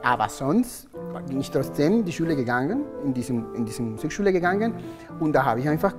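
Man, Spanish accent, native Spanish speaker, friendly, hesitant speech, clear music behind him. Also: fairly high pitch, sing-song, a little fast, long reverb tail. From Venezuela. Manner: friendly, hesitant, many ähm fillers. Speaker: orchestral oboist, guest. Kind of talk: casual explaining. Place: stage set, indoors.

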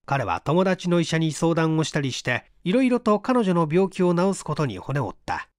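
A man narrating a literary mystery tale in a measured, dramatic storytelling manner with deliberate pauses. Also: fairly high pitch, very animated, no room sound, studio quality. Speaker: audiobook reader, stage actor.

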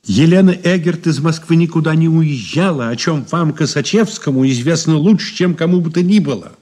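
A man's voice: a little high, brisk, warm tone, close to an everyday voice. An actor playing a shrewd, ironic old man under questioning in a 1980s Soviet radio play, courteous and condescending. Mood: accusing. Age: older. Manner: courteous, sly, condescending endearments.

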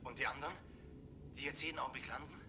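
An actor playing a determined, middle-aged astronaut in a 1960s German television science fiction drama, urgent and often breathy. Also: male voice, medium pitch, steady tone, quick pace, background noise, lightly acted. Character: grim, determined male astronaut trapped on an overrun station. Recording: 1960s TV soundtrack with loud music.

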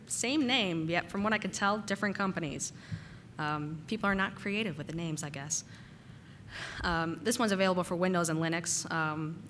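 Woman, conference speaker, slightly hoarse from a cold, bright tone, faint rumble behind. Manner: casual, frequent "uh" and "um" fillers. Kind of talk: technical conference talk. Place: conference hall.

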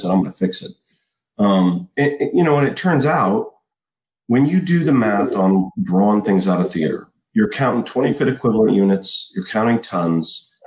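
An American man in his forties, an army officer giving measured, detailed explanations in an interview. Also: fairly low pitch, a little mellow. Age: 40s. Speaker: army colonel, interview guest. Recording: roomy, echoing sound.

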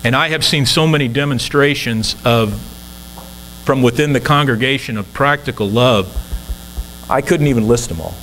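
A man's voice: medium pitch, moderate pace, a bit bright, slight background noise, rough recording. A man in his sixties, a guest minister, preaching an earnest, conversational sermon from the pulpit of a church.

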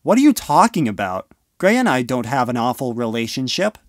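A man's voice: medium pitch, very animated, a little fast, dry recording, studio quality, lightly acted. A young man playing a curt, defensive teenage older brother in an amateur audio drama.

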